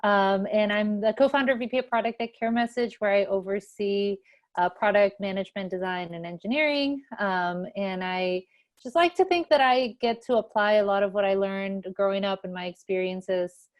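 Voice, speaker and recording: A woman's voice: medium pitch, moderate pace, dull audio. A woman from Mexico, introducing herself on a panel in a friendly, thoughtful way with some "um" fillers, over a video call. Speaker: panelist, product executive.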